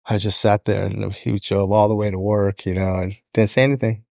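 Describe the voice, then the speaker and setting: Low-pitched American man in his fifties. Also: flat delivery, fairly quick, vocal fry, a little mellow, no echo, clean studio sound. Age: 50s. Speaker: podcast guest, electrician. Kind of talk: casual personal storytelling. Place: indoor podcast studio. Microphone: close studio microphone on a stand.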